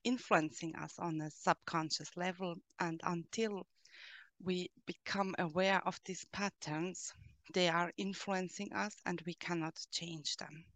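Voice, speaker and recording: A woman from Austria with an Austrian German accent, answering interview questions slowly and hesitantly, with frequent fillers, explaining her coaching work. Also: lowish voice, fairly even intonation, a bit bright, no room sound. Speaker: guest, coach who helps children and adults.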